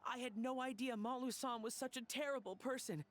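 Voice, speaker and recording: A woman's voice: on the high side, fairly even intonation, a little fast, slight background noise, natural voice. A woman playing a timid, apologetic hired underling in a video game, hesitant and pleading. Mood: nervous, apologetic.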